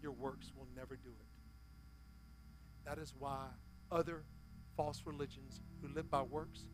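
A man in his forties, a pastor preaching a sermon on a church stage, swinging from loud exhortation to soft, near-whispered appeals. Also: mid-range voice, fairly even intonation, a little slow, noisy background.

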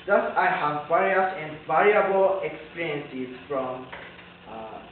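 Young male student speaker, Japanese accent, slow halting delivery of a formal speech, tinny and very echoey recording. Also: fairly high pitch. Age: young adult. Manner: halting, careful non-native phrasing. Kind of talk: prepared commencement speech. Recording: tinny, echoey distant recording with faint noise.

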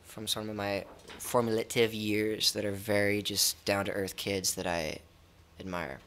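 A man's voice: lowish voice, flat delivery, a little slow, a bit bright, slight background noise. A young man with an American accent chatting casually as an interviewed musician, in an informal, hesitant, repetitive way. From United States. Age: young adult. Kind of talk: casual chat. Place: indoor music studio. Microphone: stand microphone.

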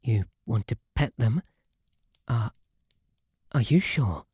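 A man with a British accent playing a shy, devoted, submissive bunny butler in an intimate ASMR roleplay, softly spoken with a formal, deferential, faltering manner. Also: mid-range voice, expressive, takes it slowly, slightly rough, dry recording, studio quality, full character voice. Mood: embarrassed, shy. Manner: flustered, deferential, formal, stammering. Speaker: roleplay audio performer. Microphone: close, dry microphone.

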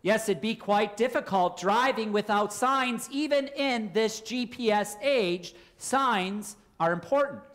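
Pastor preaching, deliberate and emphatic. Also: male voice, sits high, fairly bright tone. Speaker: Lutheran pastor. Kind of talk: sermon with rhetorical questions. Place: church, at a pulpit indoors.

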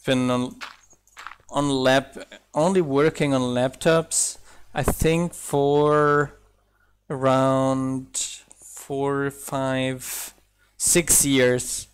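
A man's voice: medium pitch, little pitch movement, extremely slow, clear, resonant tone. A young man whose first language is German, with a slight German accent, chatting casually and thinking aloud while streaming a building game. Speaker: mod developer streaming gameplay. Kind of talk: casual live-stream chat while playing.

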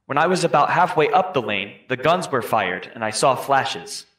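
A man's voice: mid-range voice, lively intonation, normal speed, a bit bright, natural voice. Guy playing colonial witness in a trial drama, formal careful answers, echoey room. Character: 18th-century townsman witness, careful and plain-spoken. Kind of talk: scripted courtroom testimony re-enactment.

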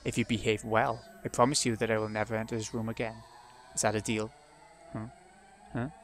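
A man's voice: lowish voice, little pitch movement, brisk, slight background noise, lightly acted. A man voicing a bullying, abusive uncle who sneers and turns falsely sweet. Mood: menacing.

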